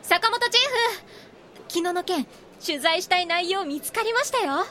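Eager high-pitched young rookie reporter. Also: female voice, animated, moderate pace, sharp tone, full character voice. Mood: enthusiastic pitching. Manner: earnest, insistent. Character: eager young female rookie magazine reporter, ambitious and pushy. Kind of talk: Japanese voice drama acting.

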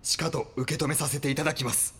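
An actor playing a devoted cult lieutenant in an audio drama, formal, obedient and zealous. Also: male voice, a little high, steady tone, fast, fairly bright tone, slightly rough. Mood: reverent. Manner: formal, deferential, reporting. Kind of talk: Japanese audio drama acting.

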